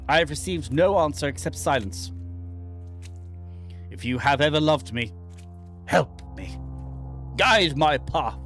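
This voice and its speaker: A man voicing a haunted, guilt-ridden man lost in dark woods, reading horror game narration in a theatrical, halting way. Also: a little high. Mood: pleading, solemn. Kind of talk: dramatic first-person reading of horror visual novel text.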